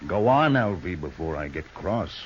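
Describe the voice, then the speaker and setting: An actor playing a burly, threatening, suspicious outlaw boss in a radio western, gruff and domineering. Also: male voice, sits low, sing-song, moderate pace. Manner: gruff, domineering, barking orders. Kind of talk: old-time radio western acting. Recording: dull, hissy old radio recording.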